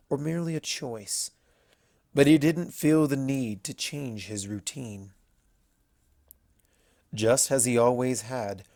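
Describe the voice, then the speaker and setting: Measured storyteller with a dry, close sound. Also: medium pitch, vocal fry, darkish tone, no echo. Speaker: narrator. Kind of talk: scripted fan fiction narration.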